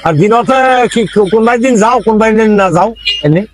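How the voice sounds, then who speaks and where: A man's voice: high voice, quick pace, a little hiss or hum. A man speaking as a villager in casual rustic conversation, hesitant, repeating himself and pleading. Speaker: villager pleading for a government latrine.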